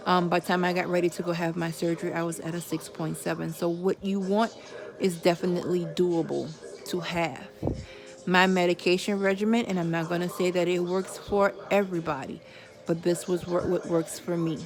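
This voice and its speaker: A woman's voice: fairly low pitch, little pitch movement, brisk, over music. A woman of forty giving viewers a candid personal update, chatting casually with religious asides. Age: 40s. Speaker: vlogger.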